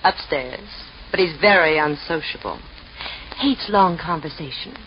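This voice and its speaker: Low-voiced, taunting femme fatale. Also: female voice, expressive, unhurried. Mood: coy menace. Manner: coy, mocking, menacing. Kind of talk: 1950s radio drama acting. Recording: dull, noisy vintage radio recording.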